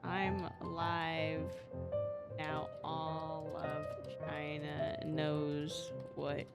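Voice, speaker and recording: A woman's voice: low-pitched, flat delivery, very slow. A young woman live streaming a game with casual, slangy, sweary commentary, often repeating her phrases, with game music behind her. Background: video game music.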